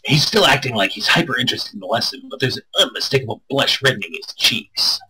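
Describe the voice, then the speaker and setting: A man with a husky, strained voice reading a visual novel aloud expressively, with crude joking asides and stumbles over lines. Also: mid-range voice, animated, fast talker, pushed and bright, a little hiss or hum, low-quality recording. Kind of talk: expressive read-aloud with casual banter.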